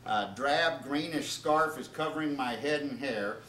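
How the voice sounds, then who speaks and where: A man's voice: mid-range voice, unhurried, pushed and bright. A man reading comedic stories aloud as an author at a live event, with informal asides to his listeners. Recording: roomy, distant, noisy live event recording.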